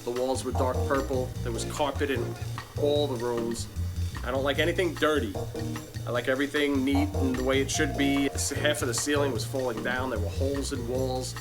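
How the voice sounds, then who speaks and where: Male shop owner for reality interview, blunt talker, mid pitch, prominent guitar bed. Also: fairly even intonation, moderate pace. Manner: blunt, swearing. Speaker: tattoo shop owner introducing the crew. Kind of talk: reality show interview talk. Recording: music behind the voice. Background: guitar music.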